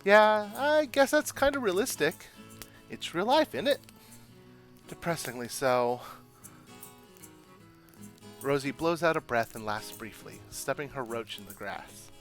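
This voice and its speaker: A man's voice: fairly high pitch, very animated, unhurried, pauses often, fairly bright tone. A man streaming a game, chatting casually and reading story text aloud in a self-deprecating, chatty way. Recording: background music under voice.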